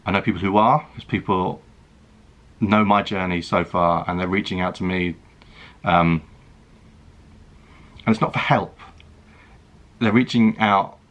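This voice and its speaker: A British man in his thirties, a food and travel vlogger, talking earnestly and reflectively to camera, with many halting restarts. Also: low-pitched, fairly bright tone. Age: 30s. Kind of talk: earnest personal talk to camera.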